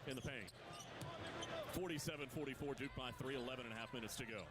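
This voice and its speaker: Male play-by-play announcer, mid-pitched, excited calls, loud arena crowd behind. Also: heavy background noise. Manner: rapid call that climbs sharply on big plays. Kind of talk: radio basketball play-by-play.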